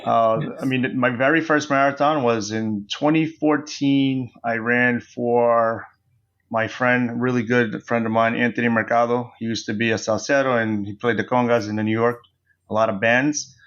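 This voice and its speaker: A man raised in the US, a podcast guest, talking in an earnest, measured way over remote audio. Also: medium pitch, steady tone, fairly bright tone, dull audio. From United States. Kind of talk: casual interview talk.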